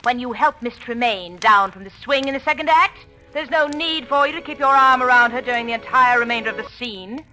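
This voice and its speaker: Snooty jealous leading lady, high cutting voice, very theatrical 1940s radio drama. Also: brisk, pushed and bright, low-quality recording. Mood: scornful, indignant. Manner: haughty, scathing, theatrical. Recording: vintage radio broadcast with faint music.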